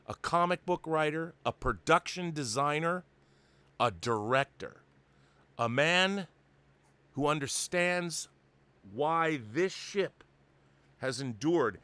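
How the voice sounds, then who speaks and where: A man's voice: a little high, fairly bright tone, clear, resonant tone, no echo. A middle-aged American man hosting a show, speaking effusively with dramatic build-ups and mock solemnity. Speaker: show host. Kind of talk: theatrical show introduction and enthusiastic interview chat.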